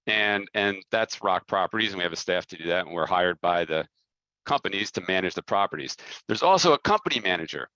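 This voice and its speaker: A man talking informally on a business conference call, halting as he repeats and rephrases his words. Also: low voice, animated, pushed and bright, no room sound, highs cut off. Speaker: company head answering investor questions.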